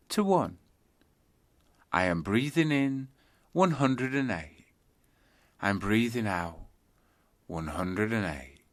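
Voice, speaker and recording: Man guiding meditation, widely varying pitch. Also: a bit bright. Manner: instructional, deliberate, with pauses between phrases. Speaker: yoga nidra meditation guide. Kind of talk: guided meditation narration.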